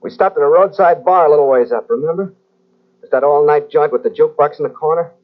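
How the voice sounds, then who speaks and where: Man acting quiet menacing crook, calm and slow, tinny narrow old radio sound. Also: on the high side, natural voice. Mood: quietly ominous. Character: menacing returned husband, Nicky, cold and calm.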